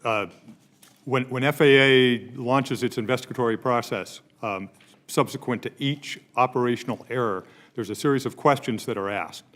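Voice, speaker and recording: A man testifying formally at a hearing, choosing his words in a measured, careful way. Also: mid-range voice, a bit bright. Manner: measured, careful, precise wording. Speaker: oversight witness at a hearing.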